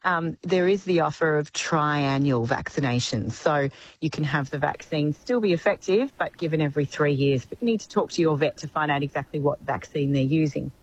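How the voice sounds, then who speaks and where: An Australian woman with a slightly croaky voice, giving expert veterinary advice as a guest in a radio interview. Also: low-pitched, fairly quick, highs cut off, slight background noise.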